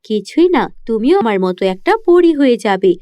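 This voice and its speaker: A woman's voice: on the high side, big pitch swings, normal speed, dark tone, no room sound, studio quality. A woman voicing a protective, righteous fairy guardian in a children's cartoon story, speaking earnestly and firmly.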